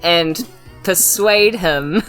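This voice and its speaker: Low-voiced New Zealand woman. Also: extremely slow, a bit bright. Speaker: tabletop game player. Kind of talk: playful improvised role-play chat. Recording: music behind the voice. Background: faint music.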